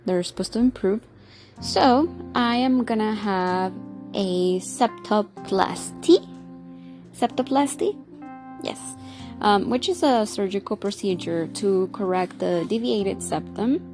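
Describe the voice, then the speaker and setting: A young woman talks straight to her viewers in loose, wandering sentences, stopping and restarting as she goes. Her voice has a stuffy, nasal quality, and her pitch sits in the middle of the usual range. Faint music plays softly underneath. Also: slow. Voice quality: congested, nasal sound. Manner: chatty, rambling with fillers and restarts. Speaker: vlogger. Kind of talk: casual talk to camera.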